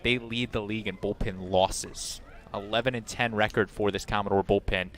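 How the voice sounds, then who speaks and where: A man with a fairly low voice works as a color commentator on baseball. He analyzes plays and players in a broadcast commentary style. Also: fairly even intonation, moderate pace, fairly bright tone.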